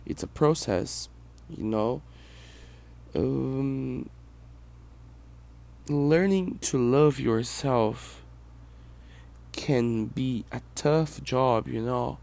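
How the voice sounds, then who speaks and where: A Brazilian man who speaks English as a second language, with a Brazilian Portuguese accent, hosting a personal podcast in a reflective, halting way. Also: medium pitch, vocal fry, darkish tone. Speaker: podcast host.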